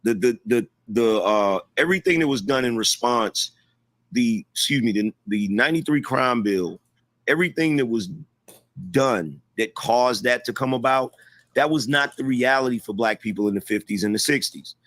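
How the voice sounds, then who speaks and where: A man arguing his point in a heated debate, insistent and quick to repeat himself when someone cuts in. His voice sounds dry and close, with no room around it. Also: medium pitch, animated, fairly bright tone, no room sound. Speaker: debate guest.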